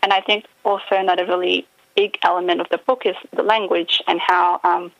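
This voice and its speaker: Gravelly low-voiced woman on a dull line. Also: little pitch movement, a little fast, dry recording. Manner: thoughtful, hedging, often trails off. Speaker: author guest. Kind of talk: reflective radio interview answers.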